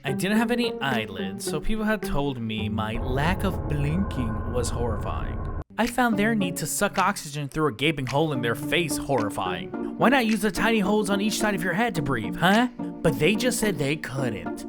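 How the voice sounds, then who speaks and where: A performer voicing a flippant, deadpan slime god who drifts through space, narrating an audio drama in the first person in a conversational, boastful way. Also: mid-range voice, normal speed, natural voice. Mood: wry, musing. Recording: music bed under the voice.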